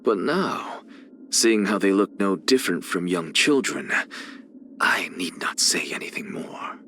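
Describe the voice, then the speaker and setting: An arrogant male scholar from a video game, lecturing with cool contempt. His voice sits fairly low yet has a crisp, forceful edge, and it swings theatrically in pitch as he takes his time over each phrase. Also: animated, slight background noise, full character voice. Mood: coolly detached. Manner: haughty, deliberate, theatrical, lecturing.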